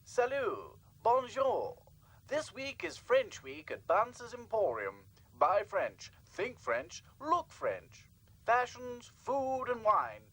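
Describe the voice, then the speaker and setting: A man's voice: extremely high pitch, huge pitch swings, slow pace, fairly bright tone, voice pushed up, heavily acted. A man doing a sing-song radio ad announcer. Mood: salesy. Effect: headphone radio.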